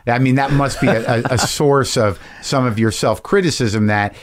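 A man's voice: medium pitch, normal speed. A man in his fifties hosting a podcast, talking frankly and bluntly with a guest. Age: 50s. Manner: frank, profane, probing. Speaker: podcast host. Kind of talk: casual conversation.

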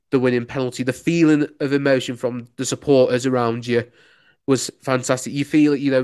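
A man's voice: medium pitch, a little mellow. A young man with a Lancashire accent, a local radio sports reporter chatting enthusiastically in an interview, full of "you know"s. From Lancashire, England. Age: young adult. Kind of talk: casual interview answers.

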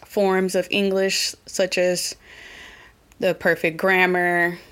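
A woman with an Oklahoma twang hosting her own solo podcast, talking earnestly and reflectively, pausing and restarting as she thinks aloud. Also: fairly low pitch, flat delivery, a bit bright. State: a little nervous. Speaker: podcast host.

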